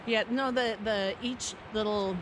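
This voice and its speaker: A woman's voice: on the high side, steady tone, a little slow, poor audio. A woman chatting casually with friends while out on a trail. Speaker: hiking companion. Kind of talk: casual chat. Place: outdoors on a trail. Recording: faint outdoor ambient noise.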